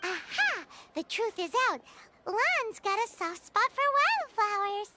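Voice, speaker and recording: Squeaky, very high-pitched little fairy sidekick. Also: female voice, very animated, measured pace, heavy background noise, exaggerated voice. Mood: playful, curious. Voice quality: squeaky, childlike. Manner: perky, blunt, exclamatory. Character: tiny excitable childlike floating fairy companion, talks in third person. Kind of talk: video game character acting. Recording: background music over game dialogue.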